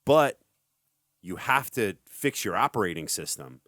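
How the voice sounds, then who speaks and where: A middle-aged man hosting a podcast, talking casually in an energetic motivational way. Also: fairly low pitch, unhurried, dry recording, clean studio sound. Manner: energetic, hype-style motivational. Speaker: podcast host.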